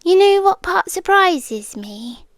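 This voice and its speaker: Brief: a British woman as a lovesick kidnapper, high and unhurried, murmuring into a dry close mic. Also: sing-song, no room sound, exaggerated voice. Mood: calm obsessive. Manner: soft-spoken, often slipping into whispers. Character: obsessive romantic young woman kidnapper, calmly sincere. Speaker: ASMR roleplay creator. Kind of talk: ASMR roleplay.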